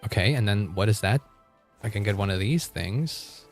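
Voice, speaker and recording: A man's voice: lowish voice, lively intonation, normal speed, faint music behind. A man livestreaming a game, chatting casually with viewers in a rambling, informal way with some mild swearing.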